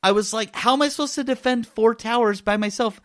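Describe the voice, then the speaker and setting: A man co-hosting a gaming podcast, telling stories in casual chat with animated, emphatic repetition. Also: extremely high pitch, a little fast, no room sound, studio quality. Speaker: gaming podcast co-host and reviewer. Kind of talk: casual chat, game review.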